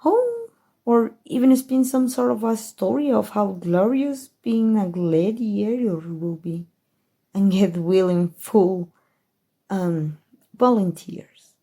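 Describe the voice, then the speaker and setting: A haughty evil queen, played by a woman with a Mexican accent, speaks at a leisurely pace in a dark, breathy voice. She muses on her schemes with playful condescension, as if to a single listener in an ASMR roleplay. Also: medium pitch, animated, heavily acted. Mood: gleeful scheming. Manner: slow, measured, musing delivery addressed to a listener.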